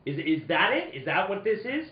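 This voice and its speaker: Male private eye played by an actor, wry and suspicious, halting delivery, radio detective play, roomy dull recording. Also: high voice, big pitch swings. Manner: stammering, halting. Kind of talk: live reading of old-time radio detective drama.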